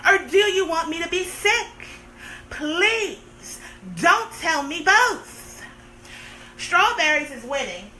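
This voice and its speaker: A woman's voice: very high voice, animated, slow, pushed and bright, a little hiss or hum, rough recording. A woman in her thirties chatting on a live stream, playful and sarcastic, teasing her viewers with theatrical complaints. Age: 30s. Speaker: livestream host.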